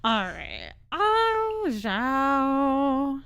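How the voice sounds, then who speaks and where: Female game streamer, high and very animated, unhurried, chatty and self-deprecating, clear game music underneath. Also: noisy background. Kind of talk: casual gaming livestream commentary. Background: video game music.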